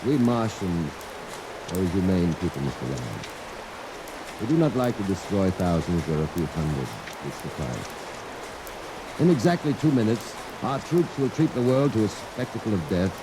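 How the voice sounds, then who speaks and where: An actor playing a cold, measured Martian infiltrator in a 1950s radio drama. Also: male voice, low-pitched. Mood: menacing. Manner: calm, measured and menacing. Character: cold, smooth Martian infiltrator posing as a man. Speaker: villain. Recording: old radio transcription under loud rain noise.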